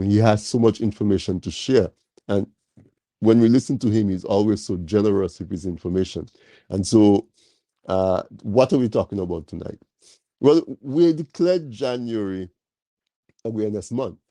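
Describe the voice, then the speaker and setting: A man hosting a live talk program, slightly nasal from a cold, speaking slowly with many fillers as he welcomes his audience. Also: on the low side, gravelly, dry recording. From Caribbean. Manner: slow, warm welcoming address with many fillers. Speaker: talk show host.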